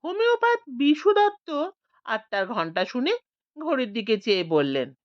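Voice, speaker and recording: A woman's voice: fairly high pitch, sing-song, normal speed, dry recording, muffled recording. A woman narrating a scripted audiobook story, voicing the village characters expressively.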